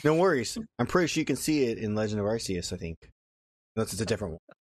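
A man's voice: lowish voice, expressive, fast talker, no echo. A man co-hosting a casual podcast, teasing the others bluntly and swearing freely. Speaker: podcast co-host.

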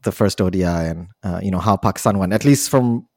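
Lower-voiced guy hosting a podcast, teasing his guests, dry close sound. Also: fairly quick, a little mellow, dry recording. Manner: playful, teasing questions. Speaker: podcast host. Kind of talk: casual podcast interview.

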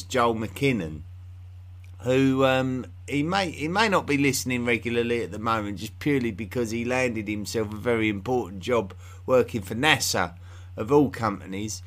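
A man's voice: medium pitch, expressive, moderate pace. A man from England with an English accent, hosting a history podcast in an unscripted, rambling monologue full of fillers and restarts.

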